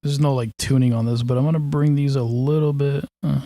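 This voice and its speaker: A man's voice: medium pitch, steady tone, fairly quick, dark tone, no echo. Guy mixing a track and chatting as he goes, loose and rambly, super dry close sound. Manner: thinks aloud, loose and offhand with many fillers. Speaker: mixing engineer. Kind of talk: casual walkthrough of mixing a song.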